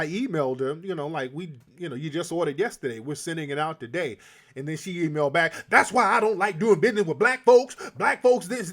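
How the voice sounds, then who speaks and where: A man's voice: fairly high pitch, a bit bright. Blunt, emphatic male talk-show host.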